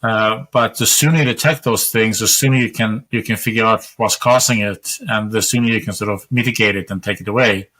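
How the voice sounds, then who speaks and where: A man in his sixties from Sweden, speaking with a Swedish accent, explaining his research in a measured way as a guest on a video call. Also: on the low side, fairly even intonation, a bit bright. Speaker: guest, epidemiologist. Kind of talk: interview answers over video call. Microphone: webcam on video call.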